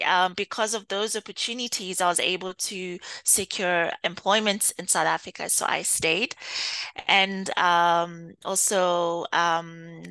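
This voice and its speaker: A woman from Kenya being interviewed, speaking thoughtfully with frequent pauses and easy laughs. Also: fairly low pitch, pushed and bright, clear, resonant tone, no room sound, poor audio. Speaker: interview guest, data executive. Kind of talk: conversational interview.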